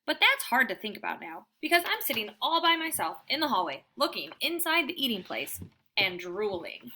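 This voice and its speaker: Bright, high-voiced woman reading a storybook. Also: moderate pace, slight background noise. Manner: animated, emphatic, dramatizing. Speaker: read-aloud storyteller. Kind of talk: expressive children's book narration.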